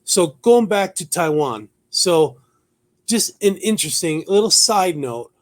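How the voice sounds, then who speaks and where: A man hosting a news commentary talk show, moving between reading notes and conversational remarks, halting at times and repeating words for emphasis. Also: high-pitched, animated.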